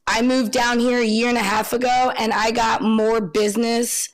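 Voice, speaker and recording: A woman from Pennsylvania with a tri-state accent, talking candidly as a photographer guest in a relaxed interview, with frequent ums. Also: a little high, moderate pace, rough recording. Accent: tri-state (Pennsylvania/Maryland area). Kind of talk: casual interview conversation.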